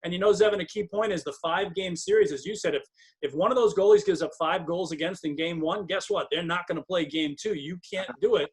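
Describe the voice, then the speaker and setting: A man's voice: high-pitched, fast, breathy edge, poor audio. A man working as a hockey broadcaster, giving emphatic, detailed and fluent sports analysis as an interview guest.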